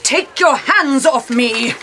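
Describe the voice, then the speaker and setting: A woman's voice: high-pitched, expressive, takes it slowly, pushed and bright, a little hiss or hum, full character voice. A middle-aged woman playing an exasperated, foul-mouthed soap actress in a screen comedy, theatrical, haughty and sarcastic. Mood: indignant. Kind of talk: screen comedy acting as radio drama actress. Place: small radio recording studio.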